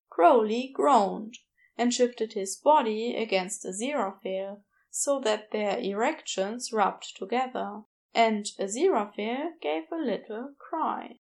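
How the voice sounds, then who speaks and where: A woman's voice: a little high, vocal fry. A woman reading scripted fiction as an audiobook narrator.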